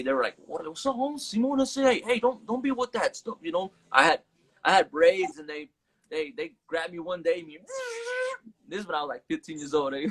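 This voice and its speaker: A man's voice: fairly high pitch, fairly bright tone. A man from Las Vegas, a guest rapper, talking casually in a live-stream interview and mixing Spanish words into laid-back street talk. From Las Vegas, USA. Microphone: phone on a live stream.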